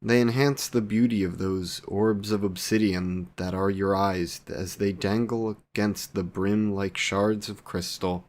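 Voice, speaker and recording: A man playing a devoted, wry vampire boyfriend in a scripted romantic audio roleplay, speaking in ornate, formal phrases. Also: fairly low pitch. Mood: tender.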